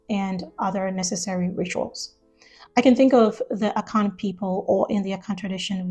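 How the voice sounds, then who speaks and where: A woman from Ghana who lives in the United States, explaining a topic in a measured, thoughtful video essay. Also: mid-range voice, a little mellow. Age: adult, lived years in the US.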